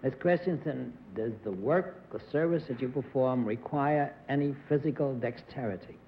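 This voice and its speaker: A man's voice: medium pitch, normal speed, a little hiss or hum. A man on a television panel, making genial introductions and polite questions. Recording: dull 1960s television broadcast audio.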